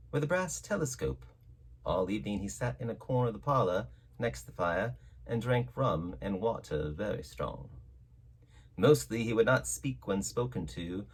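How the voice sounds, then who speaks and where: A man reading a classic story aloud as a narrator, with theatrical, measured phrasing and dramatic delivery. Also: medium pitch, breathy edge, poor audio. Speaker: audiobook narrator.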